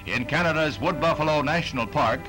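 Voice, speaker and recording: A man's voice: on the high side, a little fast, music in the background, low-quality recording. An American man reading scripted newsreel narration in a formal, declamatory announcer style on a 1960s film soundtrack.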